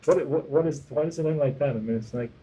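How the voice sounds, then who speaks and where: A man interviews in a quick, mellow voice over faint background noise. He is conversational and probing, circling back to reword his questions as he asks them. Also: fairly high pitch, poor audio. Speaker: interviewer, music journalist.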